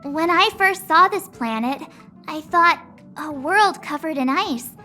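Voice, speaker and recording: A woman's voice: high voice, animated, unhurried, sharp tone, faint music behind, exaggerated voice. A woman voicing a perky, cocky teenage girl adventurer in an anime-style game, chirpy, breezy and teasing. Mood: wistful, musing.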